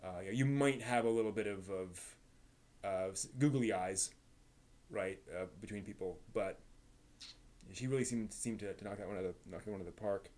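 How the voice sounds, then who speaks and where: A man hosting a casual live stream, rambling analytically as the host, often halting and repeating words while thinking aloud. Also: fairly low pitch, darkish tone. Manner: analytical, explanatory.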